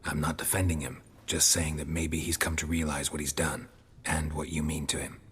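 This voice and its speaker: Grizzled monster hunter, rumbling deep and raspy, dry and terse, in a reverberant space. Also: male voice, flat delivery, normal speed, fairly bright tone, heavily acted. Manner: terse, dry, low-key. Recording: long hall reverb.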